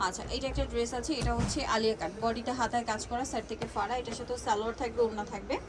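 A woman's voice: on the high side, steady tone, very fast. A woman showcasing products on camera, repeating details and prompting a seller with questions. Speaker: presenter of a clothing shopping guide video. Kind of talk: live product showcase commentary. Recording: clearly noisy busy shop ambience.

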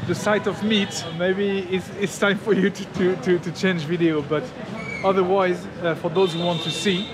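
A man's voice: high voice, level tone, moderate pace. A man in his thirties from France with a French accent, chatting casually to his camera as a travel vlogger. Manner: friendly, chatty, restarts words often. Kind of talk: casual vlog chat. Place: busy night street market outdoors. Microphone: camera held at arm's length. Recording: busy street crowd behind.

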